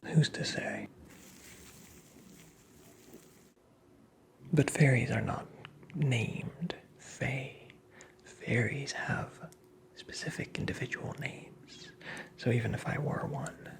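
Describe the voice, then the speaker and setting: A tricksy, creepy fairy-tale imp played by an ASMR performer whispers with a gravelly rasp. The delivery is slow and theatrical, full of taunting, mock courtesy. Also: medium pitch, a bit bright. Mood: sly, teasing. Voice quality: breathy whisper with gravelly creak.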